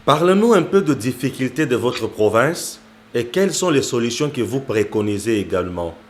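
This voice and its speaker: A man's voice: mid-range voice, very animated, normal speed, a little hiss or hum. A man hosting an online interview show, greeting viewers formally and firing off emphatic questions at his guest.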